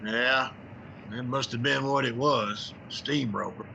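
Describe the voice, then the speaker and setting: An actor playing a wry, scrappy, wisecracking newspaper reporter in a 1950s-style radio drama. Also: male voice, medium pitch, slow pace, gravelly, pushed and bright, slight background noise, heavily acted, rough recording. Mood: weary, tipsy. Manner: wisecracking, colloquial.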